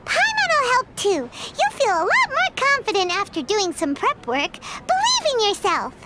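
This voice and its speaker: A woman voicing a tiny, spirited childlike companion in a game dub, squeaky and exclamatory. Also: wildly swooping pitch, measured pace, heavy background noise, full character voice. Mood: encouraging. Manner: exclamatory, refers to self in third person. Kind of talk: English game dub acting. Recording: loud music behind the voice.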